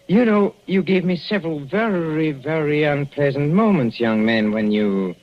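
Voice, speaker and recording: An actor playing a sadistic middle-aged professor with a Central European accent, mocking and chuckling with purring menace in a 1940s radio play. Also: male voice, fairly high pitch, lively intonation, normal speed, natural voice. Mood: gloating. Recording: lo-fi vintage radio recording, faint hiss.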